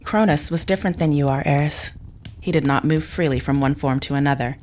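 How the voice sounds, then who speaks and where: Woman playing a low-voiced, emotionally restrained psychic mother. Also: darkish tone, a little hiss or hum, close to an everyday voice. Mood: calm, guarded. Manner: formal, measured, precise. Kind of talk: audio drama acting.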